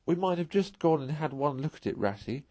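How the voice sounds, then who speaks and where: A timid storybook mole, acted out by a man, pitched high and choked with tears. He pleads brokenly and mournfully, in a close, dry recording. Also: lively intonation, a little fast, dark tone, no echo. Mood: tearful, homesick. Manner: sobbing, broken, gasping, pleading delivery. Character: tearful, homesick, timid male mole, pleading.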